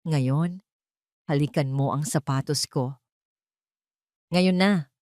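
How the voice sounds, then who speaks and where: A woman's voice: low-pitched, slow, no echo, clean studio sound. Woman acting a ruthless, commanding villainess. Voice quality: trembling, shouted delivery. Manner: commanding, taunting. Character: ruthless armed villainess, hateful, frantic, power-hungry.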